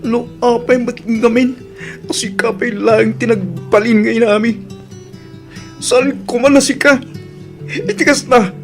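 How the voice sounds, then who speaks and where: Actor doing bitter sick boy in radio drama, slow creaky plaintive voice, music underneath. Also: mid-range voice, steady tone, lightly acted. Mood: bitter, resentful. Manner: resentful, plaintive delivery. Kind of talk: tearful radio drama acting.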